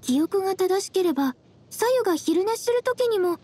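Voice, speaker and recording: Young female traveler, very high voice, gentle, composed, polite, quietly teasing, anime game. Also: lively intonation, normal speed, a little hiss or hum. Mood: curious, doubting.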